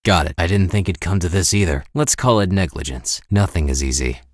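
An actor voicing a weary, cynical American mercenary in a video game, with a laconic, deadpan delivery. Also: male voice, low voice, brisk, no room sound, clean studio sound. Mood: weary, cool. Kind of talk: video game character voice lines, short barks and remarks.

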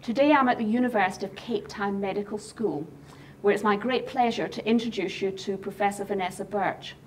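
A woman's voice: medium pitch, a little fast, a little hiss or hum, poor audio. A middle-aged woman hosting an interview series, introducing her guest and asking questions politely and formally. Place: office interview set, indoors.

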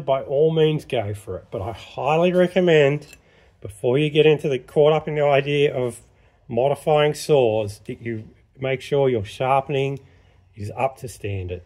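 A man speaks plainly and practically while working at a workshop bench. His voice sits at an everyday mid pitch, and he explains each step in a relaxed, no-nonsense way. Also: measured pace. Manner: plain-spoken, matter-of-fact, practical. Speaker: chainsaw sharpening instructor. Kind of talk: casual workshop demonstration.

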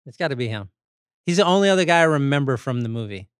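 A man's voice: mid-range voice, expressive, quick pace, clear, resonant tone, no echo. A man in his thirties chatting casually as a podcast co-host, close to a studio mic. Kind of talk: casual movie banter. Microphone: broadcast mic on a boom arm, close.

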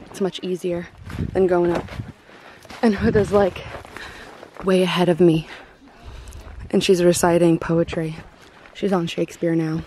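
A woman's voice: on the low side, little pitch movement, unhurried, pauses often, dark tone, slight background noise. A young woman chatting casually into a handheld camera on a camping trip, laughing often. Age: young adult. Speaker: vlogger. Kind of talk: casual vlog chat.

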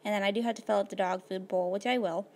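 A woman with a slightly hoarse voice, casually narrating her life-simulation gameplay at a computer, rambling with lots of fillers. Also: medium pitch, fairly even intonation, quick pace, darkish tone. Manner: rambling, filler-heavy, laid-back. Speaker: gaming video host.

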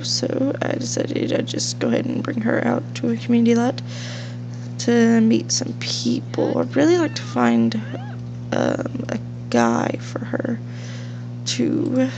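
A young woman with a slight cold narrates her game in a loose, chatty way, full of fillers and side stories. Her voice is fairly high and swings around a lot, with a gravelly fry at the ends of phrases. A faint hum from a fish tank sits under the home recording. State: sniffly from a cold. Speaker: gaming channel host. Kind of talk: casual let's-play commentary.

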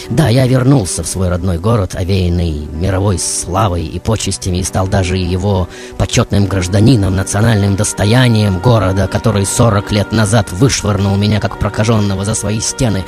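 A man playing a passionate aging tango composer in a poetic, theatrical radio monologue with dramatic pauses. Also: on the low side, close to an everyday voice. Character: passionate aging male tango composer looking back on his life. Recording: loud music behind the voice.

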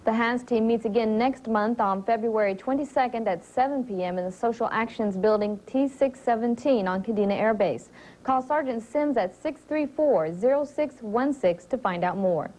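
A young American woman anchors a military TV newscast. Her voice sits on the high side, and she reads in a formal, measured manner with careful articulation, close on a studio lapel mic. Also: a little mellow, poor audio. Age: young adult. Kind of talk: scripted television newscast.

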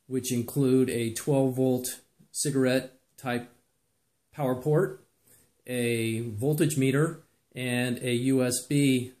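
A man's voice: mid-range voice, darkish tone. A man giving plain, methodical how-to narration, step by step, as a DIY project presenter. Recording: echoey room.

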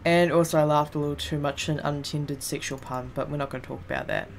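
A woman with a slightly raspy voice doing joking let's-play commentary over a game. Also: sits low, steady tone, fast talker, slight background noise. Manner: laughing, joking, swearing. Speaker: gaming youtuber.

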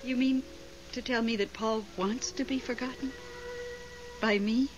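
A woman's voice: fairly high pitch, fairly even intonation, a little slow, close to an everyday voice. A woman playing a devoted, insistent middle-aged widow with an emotional, theatrical delivery in a 1970s radio drama. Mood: stubborn, wistful. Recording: dull, noisy old radio broadcast recording.

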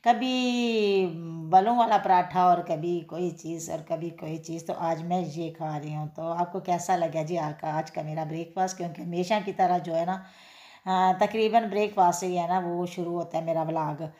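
A woman's voice: lowish voice, steady tone, moderate pace, mellow. A woman, chatting casually to viewers in a home vlog and repeating her favorite filler phrases. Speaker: cooking and daily-life vlogger. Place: home kitchen, then outdoor street fair. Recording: echoey room sound.

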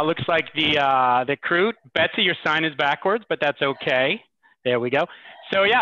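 A man's voice: high voice, sharp tone, dry recording. A man presenting a charity event, casual and encouraging, in a fundraising appeal over a video call. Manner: informal, encouraging. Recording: muffled dry video call.

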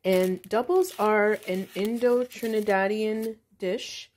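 A woman's voice: medium pitch, fairly even intonation, takes it slowly, darkish tone, slight background noise. A woman in her forties, an author, chatting casually through a home cooking video with frequent ums. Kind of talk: casual cooking vlog narration. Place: home kitchen.

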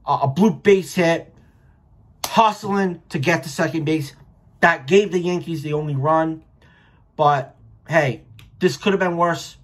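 A man's voice: fairly high pitch, unhurried, pauses often. An American man in his thirties giving casual, blunt, opinionated sports commentary with plenty of swearing, recorded at home on a webcam. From Rhode Island, United States. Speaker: sports vlogger, baseball fan. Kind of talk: casual post-game reaction commentary. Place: small furnished room at home.

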